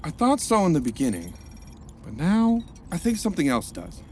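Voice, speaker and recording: Man voicing a cheery male counselor, bouncy lively pitch, goofy puns, faint hiss behind. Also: moderate pace. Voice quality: playful, punning, lively delivery. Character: cheerful upbeat male rehab counselor, jokey. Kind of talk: audio drama acting.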